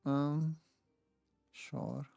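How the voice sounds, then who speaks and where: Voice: mid-range voice, fairly even intonation, drawn-out words, creaky, dark tone, no room sound, soft background music. A game streamer muttering casually and indecisively to themselves while playing. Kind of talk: casual gameplay commentary.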